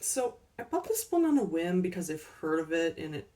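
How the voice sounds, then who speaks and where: A woman casually showing off her thrift store finds, unhurried and chatty with ums and little laughs, her voice a bit worn as she is losing it. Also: lowish voice, big pitch swings, dark tone, far from the mic. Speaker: collecting channel host. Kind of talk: casual thrift haul chat.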